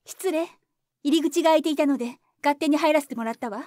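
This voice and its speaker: A woman's voice: high voice, quick pace, a little mellow, no echo, studio quality, close to an everyday voice. A woman playing a refined, commanding actress with formal, ladylike, insistent speech in a Japanese audio drama. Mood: composed, commanding. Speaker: voice actor.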